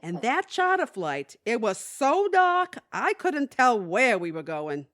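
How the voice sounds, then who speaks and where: Animated, kvetching older widow. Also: female voice, on the high side, takes it slowly, heavily acted. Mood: animated storytelling. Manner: kvetching, folksy, Yiddish-peppered. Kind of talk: audio theatre comedy acting.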